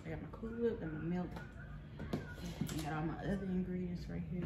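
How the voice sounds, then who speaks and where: A young woman, casually chatting as she cooks and narrating a voiceover in a relaxed, rambling way that often laughs at herself. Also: lowish voice, a little fast, very noisy. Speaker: vlogger. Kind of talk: casual cook-along chat and voiceover. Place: home kitchen, indoors. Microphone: camera on the counter. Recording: voiceover with clear background music.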